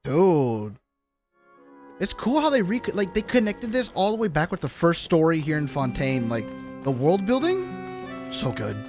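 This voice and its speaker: A woman's voice: fairly low pitch, sing-song, unhurried. A woman giving casual, slangy live commentary as she reacts to a video game. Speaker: game streamer. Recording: game music behind the voice. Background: video game music.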